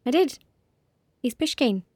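A woman's voice: high voice, lively intonation, measured pace, darkish tone, dry recording. Young rural Irish girl, earnest, imaginative, matter-of-fact, audio drama, close dry sound. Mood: fond, firm. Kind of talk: naturalistic audio drama acting.

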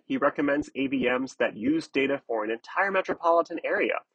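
A man narrating a scripted news article in a measured, explanatory way. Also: mid-range voice, fairly bright tone, husky, no room sound, rough recording.

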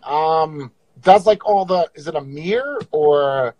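Joking livestream host, dry close sound. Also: male voice, a little high, takes it slowly, a little mellow, no echo, muffled recording. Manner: joking, teasing and crude. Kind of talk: casual livestream chat with viewers.

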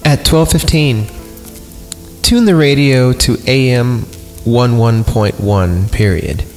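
A man's voice: medium pitch, takes it slowly. A man hosting a podcast, reading a horror story irreverently with sweary, goofy asides and mocking ad-libs. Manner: sweary, goofy, breaks off to ad-lib and mock the text. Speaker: podcast host. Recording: long reverb, loud music behind. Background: eerie film score music.

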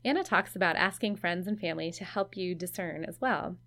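A woman's voice: fairly low pitch, a little fast, bright, forceful tone. A woman hosting a podcast, narrating in a conversational, lightly self-deprecating way. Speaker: podcast host.

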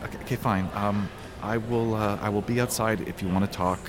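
A man's voice: fairly low pitch, steady tone, fairly quick, crowd noise, lightly acted. An actor playing a harried male political staffer in a satirical audio drama, stammering, impatient and profane. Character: frazzled, foul-mouthed male political staffer.

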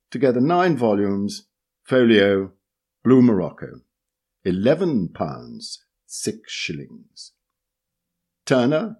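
A man reading an essay aloud, measured and deliberate as he works through lists of book lots. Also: mid-range voice, a little mellow. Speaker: reader. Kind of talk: scripted narration of an essay.

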